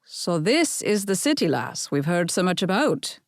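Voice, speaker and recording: A woman voicing a warm, teasing older Scottish grandfather with a soft brogue in an audiobook. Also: on the low side, sing-song, moderate pace, dry recording, studio quality. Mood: teasing, welcoming. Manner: twinkly, welcoming, gently flirtatious.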